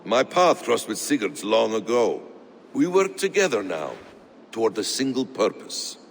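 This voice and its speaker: Courteous assassin, lowish voice, expressive melody, sly, deliberate, game drama, reverberant. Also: male voice, gravelly, heavily acted. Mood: smooth, guarded. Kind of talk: video game cutscene acting.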